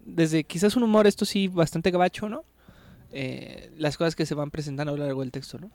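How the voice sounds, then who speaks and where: A man's voice: on the high side, steady tone, brisk, a little mellow, dry recording. A man in casual literary discussion, thinking aloud and ending nearly every phrase with a tag question. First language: Spanish. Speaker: book club podcast co-host.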